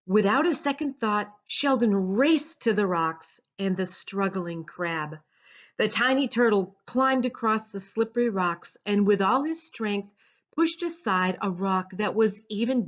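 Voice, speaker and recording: A woman narrates a children's story with an expressive, unhurried delivery. Her pitch is average for a woman, and the recording is somewhat dull and lo-fi. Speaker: author reading a storybook. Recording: dull lo-fi sound.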